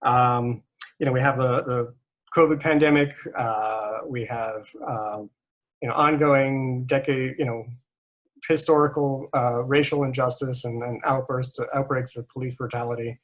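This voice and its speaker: A man hosting a candidate forum, speaking haltingly with many restarts and chuckling between points. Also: mid-range voice, steady tone, poor audio. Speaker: forum host, moderator. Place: video call.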